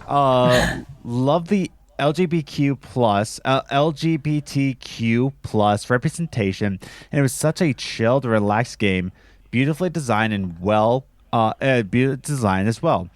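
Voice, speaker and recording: A man hosting a podcast, hoarse from a cold, chatting loosely with lots of fillers and restarts. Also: medium pitch, unhurried, dry recording. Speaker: podcast host. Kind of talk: casual podcast chat.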